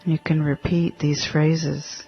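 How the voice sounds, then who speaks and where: Man, meditation guide, higher-pitched voice, very even delivery, gentle, halting short phrases, spoken instructions. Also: gravelly. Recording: dull lo-fi audio with faint music.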